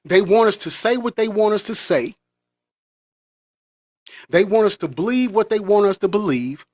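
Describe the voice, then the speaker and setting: A man's voice: high-pitched, animated, normal speed, darkish tone, no echo, clean studio sound. An American man delivering an impassioned, preachy monologue as a vlogger. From United States.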